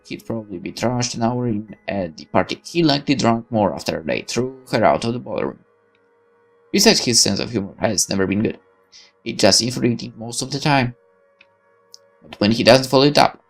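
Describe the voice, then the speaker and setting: A man's voice: mid-range voice, creaky. A man reading a visual novel's text aloud as dramatic, sardonic first-person narration. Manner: expressive, sardonic. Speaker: let's player reading game text.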